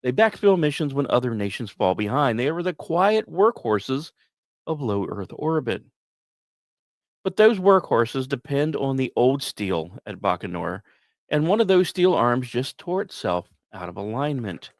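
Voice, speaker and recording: A man's voice: medium pitch, big pitch swings, unhurried, dry recording, studio quality. A man hosting a podcast, reading scripted space news like a dramatic story with conversational asides. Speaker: podcast host.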